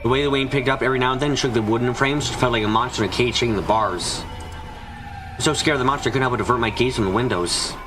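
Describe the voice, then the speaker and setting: A man's voice: medium pitch, racing, music in the background, lightly acted. A man voicing an anxious, frightened narrator trapped and desperate, in a first-person visual novel fan dub. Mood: uneasy, brooding. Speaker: voice actor narrating.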